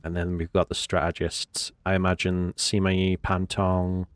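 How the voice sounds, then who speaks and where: A man's voice: deep voice, completely flat delivery, moderate pace, no echo. A man with a cold and a nasal voice, giving casual gameplay commentary in a rambling, hesitant way. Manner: rambling, hesitant with many fillers. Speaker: game commentator.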